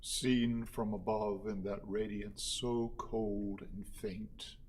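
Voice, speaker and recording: An actor playing a solemn poet in a radio play, delivering melancholy verse in halting, drawn-out, half-sung phrases. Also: male voice, fairly low pitch, flat delivery, darkish tone, a little hiss or hum. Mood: hushed, sombre. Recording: music mixed with the voice. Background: musical instrument.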